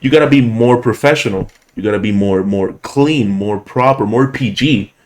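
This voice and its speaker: Deep-voiced man from Puerto Rico. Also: a little mellow. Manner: chatty, slightly halting English, playful. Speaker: show host, commentator. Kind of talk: casual interview.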